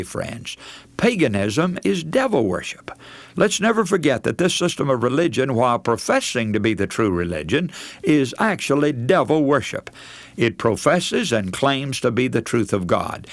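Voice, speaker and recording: A man's voice: medium pitch, dry recording. A man preaching a scripted radio sermon, emphatic and declamatory, addressing his listeners directly. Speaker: radio preacher, broadcast host.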